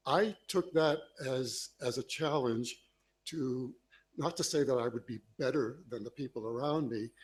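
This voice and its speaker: A man's voice: mid-range voice, a little mellow. Sincere man speaking on a panel. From United States. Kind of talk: panel discussion remarks.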